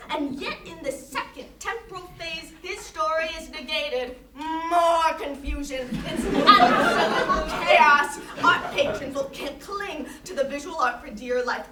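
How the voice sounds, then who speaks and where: A woman's voice: high-pitched, measured pace, few pauses. A woman acting a grandiose, earnest conceptual artist who lectures emphatically in a staged interview. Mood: dramatic, emphatic. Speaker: actor in a staged reading. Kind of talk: theatrical monologue in interview form. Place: staged reading in a reverberant room. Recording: roomy, distant microphone, noisy hall.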